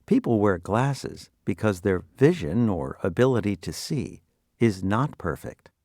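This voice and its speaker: A man's voice: on the low side, sing-song, measured pace, a little mellow, no echo, clean studio sound. A man presenting a scripted vocabulary review, asking and explaining in an instructional, quizzing manner. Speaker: quiz presenter.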